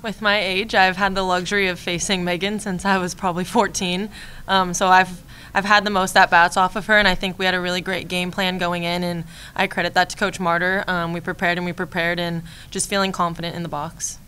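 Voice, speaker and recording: A young woman in her twenties, a college softball catcher, answering postgame press questions at a desk mic, calm and steady, repeating key phrases. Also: fairly low pitch, brisk, smooth. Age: 20s. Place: press room in front of a team backdrop. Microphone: desk mic on a stand.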